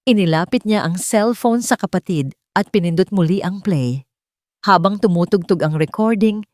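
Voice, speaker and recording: A woman storyteller reading a scripted romantic drama in a solemn, emotive and deliberate manner. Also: medium pitch, darkish tone, no room sound, studio quality.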